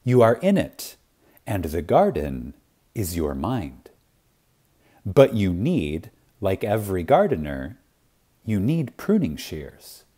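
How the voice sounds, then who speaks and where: A man reading a spiritual lecture like a sermon, reverent and emphatic, with deliberate pauses for effect. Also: low voice, huge pitch swings, mellow, breathy edge.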